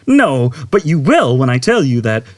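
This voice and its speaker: A brash shipboard computer acted out by a man in a sci-fi audiobook, pitched high and swinging widely. It chatters like an over-eager salesman, relentlessly cheery and keen to help. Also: very animated, normal speed, darkish tone, no echo, lightly acted. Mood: bright, chattering. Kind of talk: audiobook character voice.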